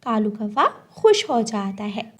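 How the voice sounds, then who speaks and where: A woman's voice: medium pitch, big pitch swings, measured pace, slightly rough. A woman narrating a scripted children's cartoon story as the storyteller.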